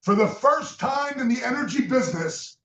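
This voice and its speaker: A man with a strikingly high voice delivers a pumped-up sales pitch, punching words and sometimes shouting. He sounds far from the mic in a reverberant meeting room. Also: lively intonation, normal speed. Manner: loud, emphatic, shouts for stress. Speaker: business leader speaking at a recruiting event. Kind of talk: motivational sales speech.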